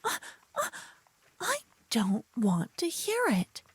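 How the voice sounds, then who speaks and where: Woman acting a playful, slow-talking young paladin girl. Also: a little high, huge pitch swings, husky, no room sound, full character voice. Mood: possessive, playful. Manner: teasing, theatrical, hushed in places. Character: adorable silly young female paladin, bossy, smitten, playful know-it-all. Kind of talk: ASMR audio roleplay.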